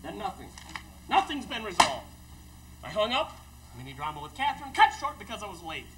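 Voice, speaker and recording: A man's voice: high-pitched, big pitch swings, fairly quick, noisy background. A man playing a frantic, put-upon bridegroom in an agitated, emphatic stage monologue. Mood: worn down. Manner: agitated, emphatic, swooping delivery.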